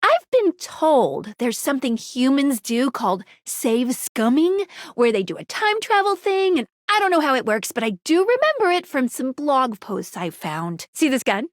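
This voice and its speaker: High, perky, geeky game girl. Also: big pitch swings, moderate pace, few pauses, no echo, clean studio sound. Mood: amazed, excited. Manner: peppy, quirky, slangy, stammers when flustered. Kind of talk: animated video game character dialogue.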